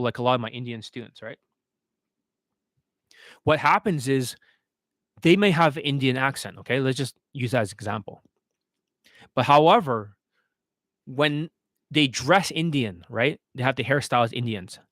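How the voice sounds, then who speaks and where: Male livestream coach, average pitch, blunt instructional question-and-answer delivery, dry recording. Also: fairly bright tone, dry recording. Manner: blunt, didactic, often checking with rhetorical questions. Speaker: dating coach and livestream host.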